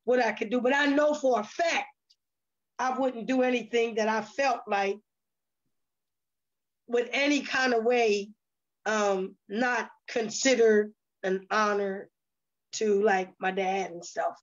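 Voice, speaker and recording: A woman's voice: a little high, steady tone, takes it slowly, long pauses. A woman who is a stage play cast member, chatting in an interview and hesitantly thinking aloud. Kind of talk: casual conversational talk. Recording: dull, lo-fi sound.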